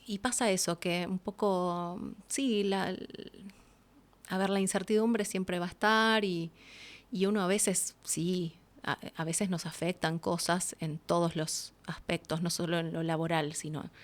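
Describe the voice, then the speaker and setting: A woman with an Argentine accent speaking as an interview guest, casual and reflective, acting out little quotes as she explains. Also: lowish voice.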